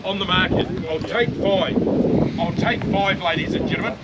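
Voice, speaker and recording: A man with a New Zealand accent working as a livestock auctioneer, rattling off bids in a rapid, repetitive chant and coaxing buyers at an outdoor saleyard. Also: sits high, lo-fi sound. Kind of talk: auction bid calling. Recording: loud crowd and livestock noise at an outdoor sale.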